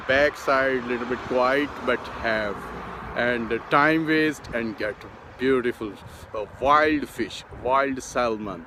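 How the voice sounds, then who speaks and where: A middle-aged man whose first language is Urdu, narrating a casual outdoor vlog from a city bridge in halting, broken English with many fillers. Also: mid-range voice. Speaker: vlogger. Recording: outdoor phone recording with street noise. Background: traffic and city street noise.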